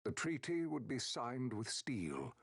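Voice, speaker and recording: A man's voice: mid-range voice, wildly swooping pitch, slow, slightly rough, no echo, studio quality, heavily acted. An actor playing a solemn male chronicler, narrating a fantasy game's tragic history in formal, literary style. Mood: solemn, grave. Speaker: story narrator in a fantasy video game. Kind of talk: scripted dramatic narration, reading memoirs. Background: loud orchestral game music.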